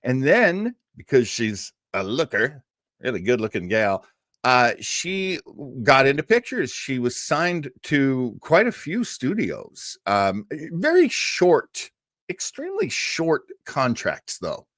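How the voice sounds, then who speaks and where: A man's voice: mid-range voice, huge pitch swings, slow, fairly bright tone, no room sound, studio quality. A man hosting an old-time radio livestream in a casual, rambling, jokey way, chatting to his viewers. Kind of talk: casual livestream chat and introduction.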